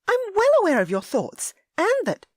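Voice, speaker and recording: A woman voicing a proud young high-born lady with sharp, huffy retorts. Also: wildly swooping pitch, a little fast, no echo, studio quality, exaggerated voice. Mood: angry.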